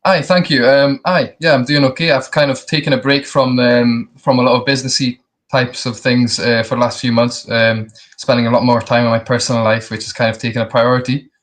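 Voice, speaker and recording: A young man, telling his personal story casually in a halting, reflective way. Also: mid-range voice, level tone, fairly bright tone. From Scotland and the Netherlands. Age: young adult. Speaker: interview guest.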